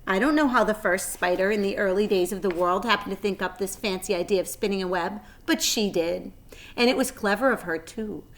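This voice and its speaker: A woman's voice: mid-range voice, brisk, lightly acted, low-quality recording. A woman voicing a clever, kindly female spider, articulate and matter-of-fact, with a pleasant thin voice. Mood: earnest.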